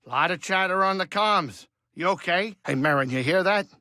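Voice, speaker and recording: A man voicing a grumpy, fretful but soft-hearted older alien pilot and cook in a video game, with a colloquial, fussy, rambling delivery. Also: high voice, moderate pace, dry recording, clean studio sound. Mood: casual, busy. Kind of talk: video game character dialogue.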